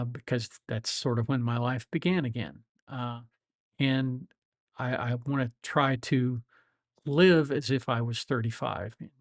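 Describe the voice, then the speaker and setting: A man's voice: medium pitch, no room sound. Chatty seventy-year-old man, dry sound. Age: 70s. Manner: rambling, reflective, frequent fillers and restarts. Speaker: lawyer and livestream host. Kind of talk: casual livestream chat answering viewers.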